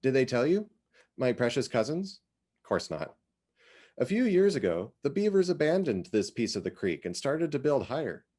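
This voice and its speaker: A man voicing a brisk, opinionated small-town woman in a storybook reading, with bright, emphatic, gossipy phrasing. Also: mid-range voice, sing-song, voice pushed up. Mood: bright, warning.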